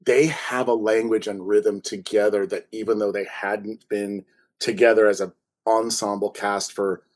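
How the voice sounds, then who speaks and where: A man's voice: lowish voice, level tone, unhurried, a little mellow. A man chatting casually and enthusiastically as an interview guest, repeating words for emphasis. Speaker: audio drama writer, podcast guest.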